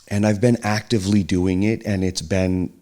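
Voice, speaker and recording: A middle-aged man in a casual podcast chat, speaking hesitantly with frequent restarts. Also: lowish voice. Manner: hesitant, restarts and trails off, soft-spoken reflection. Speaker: podcast guest, host's partner.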